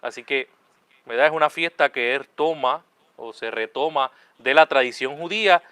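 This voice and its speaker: A man, a friar hosting a talk show. His voice is mid-pitched and smooth. He speaks in a friendly way, often tagging his sentences with a question, in a dry, close recording. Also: normal speed, pushed and bright, no room sound. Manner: friendly, repeats "¿verdad?" often. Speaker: host, Capuchin friar.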